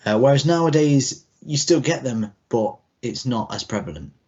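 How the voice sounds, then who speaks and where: A man's voice: mid-range voice, lively intonation, moderate pace, a little mellow, breathy edge. A man in his thirties co-hosting a podcast, chatting casually in a loose, rambling way. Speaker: podcast co-host. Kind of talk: casual chat. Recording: dull lo-fi podcast audio.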